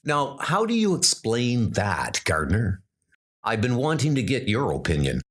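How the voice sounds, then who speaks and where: A man voicing a thoughtful male schoolteacher turned historian who speaks in a measured, inquisitive way. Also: medium pitch, wildly swooping pitch, fairly bright tone, husky, exaggerated voice.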